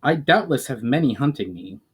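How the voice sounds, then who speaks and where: A man's voice: medium pitch, animated, normal speed, husky. A man reading the part of a grave, resolute elf diplomat in a fantasy story, formal and serious.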